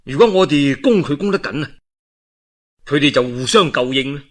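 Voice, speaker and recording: A man with a Cantonese accent voicing a shrewd military strategist who advises his lord in a measured, persuasive way. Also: on the high side, lively intonation. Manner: measured, persuasive, reasoning step by step.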